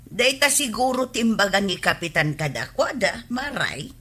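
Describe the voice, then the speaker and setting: A woman's voice: mid-range voice, sing-song, normal speed, sharp tone, breathy edge, exaggerated voice. An actress playing a complaining, meddlesome village woman in an Ilocano radio comedy drama, speaking with an Ilocano accent. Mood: disapproving.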